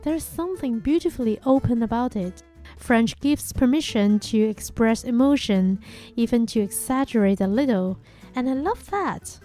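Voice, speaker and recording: A young woman whose first language is Chinese hosts a podcast in a calm, gentle, reflective way. Her voice sits fairly high but sounds mellow and warm. Soft music plays faintly behind her. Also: moderate pace. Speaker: podcast host. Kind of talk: reflective scripted monologue.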